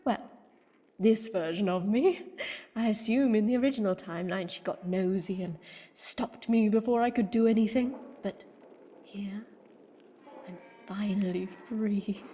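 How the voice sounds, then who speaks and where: An actress playing a gleeful, gloating alien time-meddler villain in an audio drama, with a theatrical, taunting, giggling delivery. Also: female voice, medium pitch, unhurried, mellow, a little hiss or hum, lightly acted. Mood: smug, gloating. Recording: long cavernous reverb.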